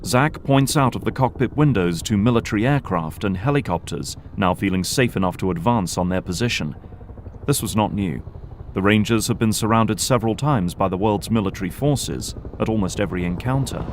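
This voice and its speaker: A man's voice: fairly low pitch, moderate pace, a little hiss or hum. A man narrating an audio drama in a scripted, dramatic storytelling style.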